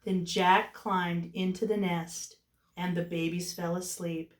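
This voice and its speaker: A woman reading a storybook aloud to young children as a teacher, with animated delivery and chatty asides. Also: fairly low pitch, slow. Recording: roomy echo, distant microphone.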